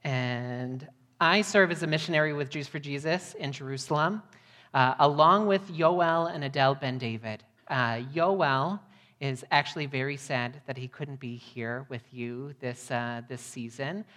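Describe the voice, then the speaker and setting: A woman's voice: very deep voice, a little slow, a bit bright. An American woman giving a sermon to a congregation as a guest missionary preacher. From United States, Midwest. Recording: long hall reverb.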